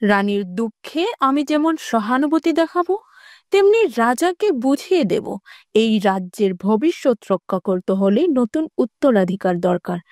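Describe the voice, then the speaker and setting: A woman's voice: fairly high pitch, lively intonation, normal speed, darkish tone, no echo, studio quality, natural voice. A woman voicing an ambitious, wicked queen in a fairy-tale cartoon, haughty and sly in a theatrical way. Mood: gloating, sly.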